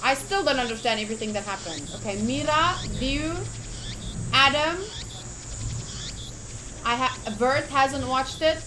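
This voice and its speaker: A woman with a fairly high voice hosts a casual game livestream. She takes her time, switching between chat and reading aloud with expressive enthusiasm, over noticeable background noise. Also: measured pace, long pauses. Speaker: game streamer. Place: livestream setup.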